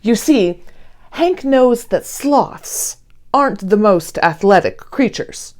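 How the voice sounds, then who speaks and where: A woman reading a children's picture book aloud expressively, with deliberate storyteller phrasing. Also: mid-range voice, lively intonation, darkish tone. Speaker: read-aloud host.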